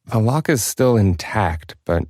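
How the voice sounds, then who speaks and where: A man's voice: lowish voice, animated, a little slow, darkish tone, dry recording, studio quality. A man playing a glib, wry warding specialist who is the son of an old ally. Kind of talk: fan voice drama.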